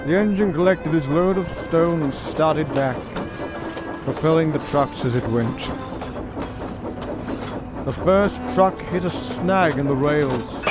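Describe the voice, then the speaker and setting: A man with a slightly high voice tells a story in scripted audio drama narration. Music plays clearly behind him as he reads. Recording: music under the voice.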